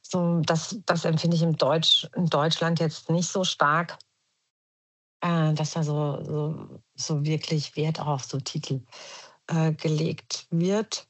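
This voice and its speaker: A woman's voice: low voice, flat delivery, slow, slightly rough. A woman with a German accent, a podcast guest speaking reflectively with many restarts and fillers. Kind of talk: reflective conversational podcast talk.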